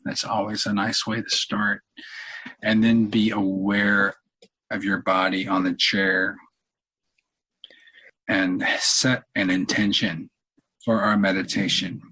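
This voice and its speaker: A man leading a guided meditation in a calm, unhurried, halting way with long pauses and a husky voice. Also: on the low side, poor audio. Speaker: meditation leader, podcast host. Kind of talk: guided meditation, softly spoken instruction.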